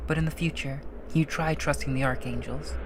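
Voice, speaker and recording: A breezy, androgynous archangel, played by a woman, speaks in a low register. The manner is casual, cheeky and reassuring, in audio drama style over faint noise. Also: steady tone, fairly quick, natural voice. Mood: gentle, reassuring. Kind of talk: scripted audio drama acting.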